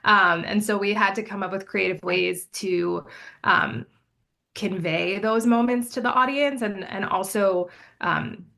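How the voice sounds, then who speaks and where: A woman guest, a co-writer, explaining her work in a measured way during a casual interview. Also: mid-range voice, vocal fry, sharp tone.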